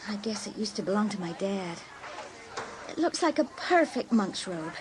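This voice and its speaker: An actress playing a casual American high school girl in a dramatised audiobook. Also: sing-song, moderate pace, background noise, natural voice. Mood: casual, glum.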